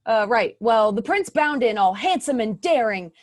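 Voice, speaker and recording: A woman's voice: on the high side, animated. A woman narrating a stage play, playful and amused at her own jokes. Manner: playful, self-amused, slow.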